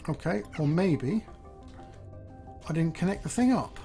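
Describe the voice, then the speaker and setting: A slightly hoarse man, thinking aloud in chatty, self-deprecating gameplay commentary as host. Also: fairly high pitch, expressive, unhurried, dark tone, soft background music. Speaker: let's play host.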